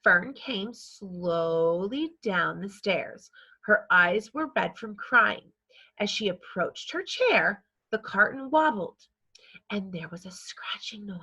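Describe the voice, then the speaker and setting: A woman reading a children's story aloud in a measured, scripted narrating style. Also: medium pitch, lively intonation, sharp tone, slightly rough, low-quality recording. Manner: measured, acts out dialogue. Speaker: storybook reader.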